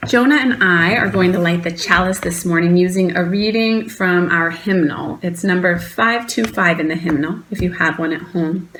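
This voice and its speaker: A woman worship associate speaking in a church service, deliberate and reverent, pausing between phrases. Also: fairly low pitch, gravelly, fairly bright tone, a little hiss or hum, poor audio. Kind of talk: reverent reading of a poem in a service.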